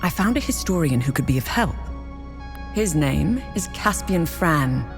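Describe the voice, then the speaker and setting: Game sidekick doing briefings, low voice, no-nonsense, music behind. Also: female voice, expressive, unhurried. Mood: businesslike. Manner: matter-of-fact, informative. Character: businesslike, sharp-witted female ally. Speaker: video game ally giving briefings. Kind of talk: video game cutscene acting.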